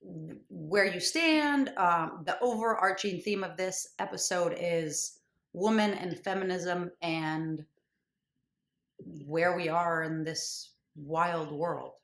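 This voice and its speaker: A woman's voice: fairly low pitch, slow, gravelly, a bit bright, reverberant. A woman hosting an interview, eager and upbeat, a bit hesitant with fillers. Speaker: podcast host.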